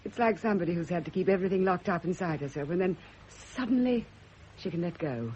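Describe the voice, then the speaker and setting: A woman's voice: fairly low pitch, expressive, darkish tone, natural voice. An actress playing a fervent, lovesick woman who confesses hidden passion, in a theatrical classic radio drama style. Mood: earnest, probing. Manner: fervent, breathy pauses, theatrical intensity. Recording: dull old radio recording, faint hiss.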